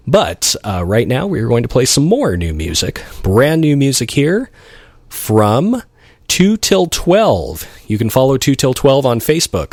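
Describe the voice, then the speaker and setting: A man's voice: medium pitch, dry recording, clean studio sound. A man hosting a radio show with casual, chatty DJ patter and an appreciative manner. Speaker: radio host and DJ.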